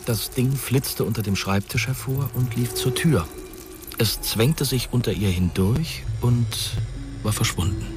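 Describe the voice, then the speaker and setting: An actor as the composed narrator of a German science fiction radio drama, reading scripted prose. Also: male voice, medium pitch, measured pace, natural voice. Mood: measured, ominous. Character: composed male storyteller of a science fiction tale. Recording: studio narration over music bed.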